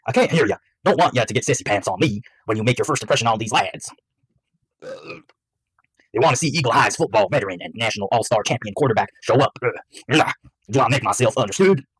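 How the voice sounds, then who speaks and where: A man acts out a storybook old Texan cowboy father. His voice is gruff and growling, and he talks in a hearty, folksy, teasing way, recorded dry and close. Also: medium pitch, fast, a bit bright, no echo, poor audio. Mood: hearty, commanding.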